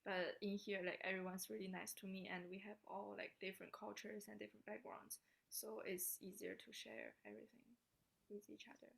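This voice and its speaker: A teenage girl from China, a student, answering questions in a soft, chatty way with many likes and ums. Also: mid-range voice, flat delivery, brisk, creaky. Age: late teens. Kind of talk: casual answers on a video call.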